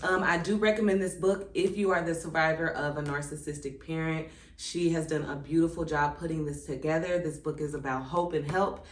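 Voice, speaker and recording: A woman's voice: sits low, steady tone, a little fast, few pauses. A woman in her thirties with four kids, talking in a casual, reflective way as she reads from a workbook and muses between passages. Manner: conversational, reflective, many "um" and "like" asides. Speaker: channel host. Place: indoors at home, seated. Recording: echoey room.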